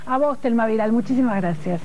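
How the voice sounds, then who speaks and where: Fast-talking Argentine woman, higher voice. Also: darkish tone. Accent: Argentine Rioplatense. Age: middle-aged. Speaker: TV host. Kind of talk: conversational live studio interview. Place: TV studio. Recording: noisy old TV broadcast archive.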